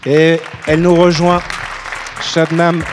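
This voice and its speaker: A man's voice: on the high side, monotone, slow, over music. Upbeat male ceremony host. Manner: upbeat, announcing. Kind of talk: ceremony hosting. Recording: hall with crowd noise.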